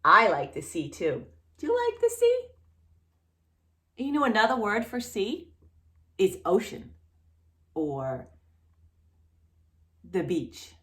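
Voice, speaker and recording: A woman storyteller reads to little kids at an unhurried pace, with a highly animated, swooping voice. She is playful and expressive, talking straight to the child as if waiting for answers. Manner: playful, expressive, exaggerated reactions, addresses the child directly. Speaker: children's storyteller and channel host. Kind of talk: read-aloud children's story with questions to young listeners.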